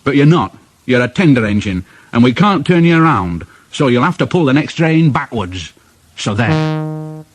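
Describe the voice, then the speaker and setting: A man's voice: mid-range voice, lively intonation, moderate pace, natural voice. A man voicing an exasperated, scolding engine driver in a children's storybook.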